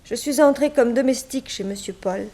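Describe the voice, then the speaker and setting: A woman's voice: on the high side, fairly quick, warm tone. An actress playing a resigned, wronged young unwed mother and former maid in a 1960s French radio drama, speaking plainly and plaintively.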